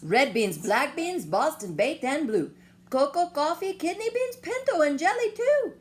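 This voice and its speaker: A woman voicing an excitable band of storybook chihuahuas, exclamatory and theatrical with playful Spanish flourishes. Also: high voice, unhurried, voice pushed up. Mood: indignant.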